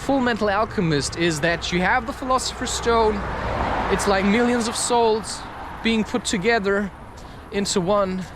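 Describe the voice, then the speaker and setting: High-pitched man musing aloud. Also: measured pace, heavy background noise. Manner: musing, loose, frequent rhetorical questions. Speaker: podcast host. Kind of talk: rambling spontaneous monologue.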